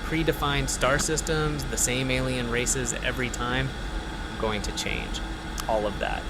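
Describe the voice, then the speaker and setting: A man's voice: mid-range voice, lively intonation, unhurried, heavy background noise. An actor playing a young male game programmer in an audio drama, with an enthusiastic manner that can turn uneasy. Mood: excited, eager. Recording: loud music behind the voice. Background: prominent music bed.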